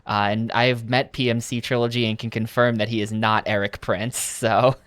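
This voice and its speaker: A man's voice: medium pitch, level tone, normal speed, fairly bright tone, dry recording. A young man from the United States commenting casually on a game as he plays, with dry, joking asides. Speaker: video game streamer.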